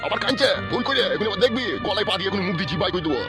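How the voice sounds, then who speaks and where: A man's voice: high-pitched, lively intonation, racing, over loud music. A man playing a furious cursing father. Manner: shouting, scolding, crude insults. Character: angry middle-aged father, loud and abusive.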